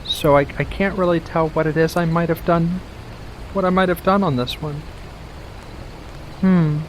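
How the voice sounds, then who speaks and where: An ASMR performer voicing a doting, playful, sweetly possessive witch in a soft-spoken roleplay, cooing and coaxing with hums and pet names, over a noisy background. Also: medium pitch, steady tone, normal speed, close to an everyday voice. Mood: puzzled, musing.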